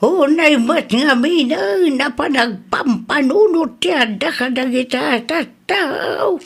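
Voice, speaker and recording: A woman playing an elderly, doting, worried Ilocano grandmother in a radio drama, with an Ilocano accent and creaky voice. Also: high voice, expressive, slow pace, heavily acted. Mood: concerned.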